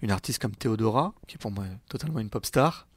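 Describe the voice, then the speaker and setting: A young man with a mid-range voice that has a gravelly, creaky edge asks his questions in a casual, curious way. The recording is close and dry. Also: fairly low pitch, quick pace, no echo. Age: young adult. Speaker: podcast host, interviewer. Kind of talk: casual conversational interview. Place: meeting room at the streaming company's office.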